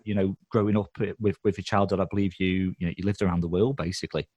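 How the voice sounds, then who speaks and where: A man's voice: deep voice, steady tone, quick pace, muffled recording, over a noisy crowd. A man hosting a podcast, asking interview questions in a hesitant way with lots of fillers. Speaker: podcast host.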